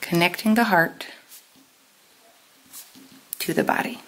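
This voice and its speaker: Lady teacher doing a step by step lesson, lowish voice, really slow, leans on the key words. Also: a bit bright, rough recording. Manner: deliberate, instructional, with stressed key words. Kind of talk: step-by-step lesson with pauses for note-taking.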